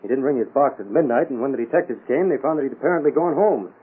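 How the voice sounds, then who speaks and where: A defensive, irritable male chemist played by an actor in a 1940s radio play. He snaps quickly and stiffly in a husky voice, through narrow phone-like sound. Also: medium pitch, close to an everyday voice. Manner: indignant, stiff. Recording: old radio, phone-like, muffled.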